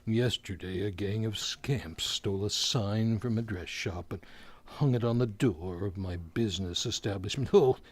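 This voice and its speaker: An actor playing a genial, courtly undertaker with morbid wit, in the formal, drawn-out style of 1940s radio comedy. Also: male voice, on the low side, dry recording, close to an everyday voice. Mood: mournfully droll. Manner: formal, unhurried, drawn-out delivery with morbid puns.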